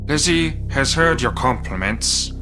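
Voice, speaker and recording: A man's voice: mid-range voice, animated, measured pace, close to an everyday voice. A man voicing a stiff male lizard-folk chief who talks about himself in the third person, over music. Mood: cold.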